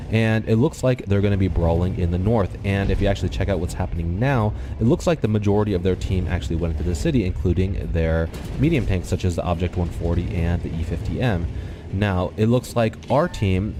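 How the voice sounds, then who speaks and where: A low-voiced man, a bit stuffed up and nasal, commentates a game replay in a calm, explanatory way. He speaks into a desktop microphone, thinking aloud as he goes. Clear background noise and game sounds run underneath. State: congested, slightly nasal. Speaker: gaming channel host. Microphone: desktop USB microphone.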